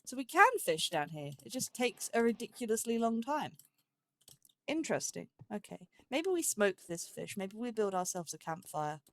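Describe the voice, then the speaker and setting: A woman's voice: medium pitch, very animated, moderate pace. A woman giving casual, chatty let's play commentary as a gaming host, thinking aloud as she goes. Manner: thinks aloud, chatty, talks to game animals.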